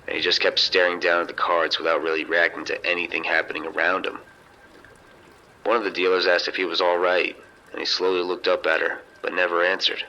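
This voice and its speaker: Casino security chief, male actor: man, deep voice, matter-of-fact radio-drama delivery, muffled walkie-talkie sound with some hiss. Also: monotone, bright, forceful tone. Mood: grave, composed. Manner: measured, detailed incident reporting. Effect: two-way radio. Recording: dull, noisy two-way radio sound.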